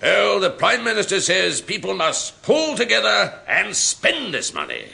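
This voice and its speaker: A high, sharp, blustering civil servant. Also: male voice, wildly swooping pitch, a little slow, creaky, heavily acted. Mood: worried. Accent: English. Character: exasperated senior British civil servant, knighted, blustering. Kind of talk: 1960s-70s BBC radio sitcom acting.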